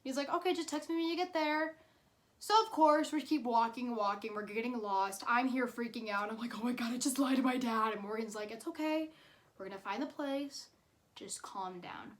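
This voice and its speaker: A woman's voice: fairly high pitch, normal speed. A woman in her twenties telling a personal story casually, in a chatty, informal way with dramatic emphasis. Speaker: YouTube storyteller.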